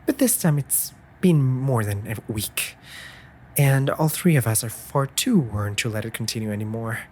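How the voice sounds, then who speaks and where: A man playing a caring, anxious raven familiar in a comforting audio roleplay, articulate and gently scolding in formal phrases. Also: medium pitch, expressive, moderate pace, slight background noise, close to an everyday voice. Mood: worried.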